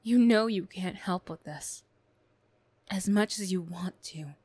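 A woman playing a defensive teenage school bully girl who reluctantly opens up, prickly and halting, in an emotional ASMR roleplay. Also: on the low side, a little mellow, husky, dry recording. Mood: despairing, confiding. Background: music.

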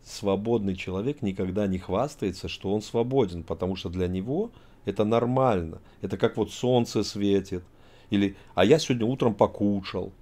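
A man's voice: lowish voice, moderate pace, mellow. A middle-aged man from Tajikistan hosting a heated video-chat argument, lecturing and emphatic, talking over a video call from an indoor room. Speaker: host.

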